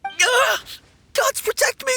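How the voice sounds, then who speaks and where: A man's voice: very high voice, takes it slowly, gravelly, bright, forceful tone, exaggerated voice. A man playing a timid, polite young rookie undertaker in an anime game, with formal, apologetic wording. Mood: panicked.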